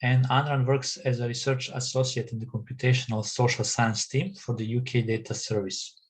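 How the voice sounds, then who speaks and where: A man from Serbia with a Serbian accent moderating an online academic session, speaking haltingly and repeating words as he goes. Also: mid-range voice, little pitch movement, breathy edge. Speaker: session chair.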